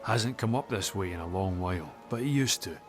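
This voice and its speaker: A weathered, middle-aged father from the hills speaks in a low voice. He is wry, stubborn and earthy, in video game cutscene style, with music behind him. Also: lively intonation, a little fast. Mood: wry, reminiscent. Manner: wry, earthy, plain-spoken.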